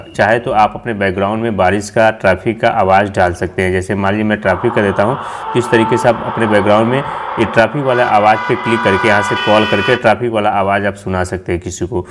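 A man's voice: fairly low pitch, steady tone, quick pace, background noise. A man narrating a casual app tutorial as host, explaining step by step and repeating himself.

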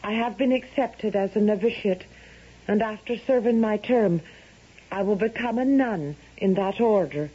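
A woman's voice: mid-range voice, moderate pace, warm tone, husky, natural voice. A woman playing a resolute, bitter young daughter in a 1970s radio drama, formal and solemn. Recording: muffled vintage radio broadcast.

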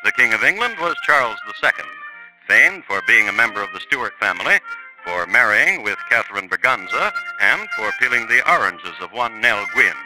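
Man, wry storyteller, low voice with swinging pitch, 1950s radio narrator with music bed. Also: sing-song, normal speed, low-quality recording. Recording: old radio broadcast with music.

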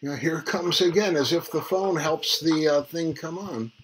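An older man leaving a rambling, formal phone message in a halting, deliberate way, recorded in a small room. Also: a little high, slightly rough, rough recording. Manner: halting, spells out numbers deliberately. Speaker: caller leaving a voicemail. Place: small cluttered room indoors.

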